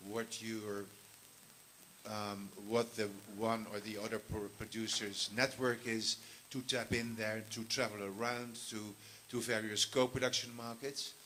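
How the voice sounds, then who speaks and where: A man, a film commissioner, speaking on a panel in a halting way with many pauses and restarts. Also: lowish voice, flat delivery, sharp tone, slight background noise, rough recording. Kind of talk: panel talk.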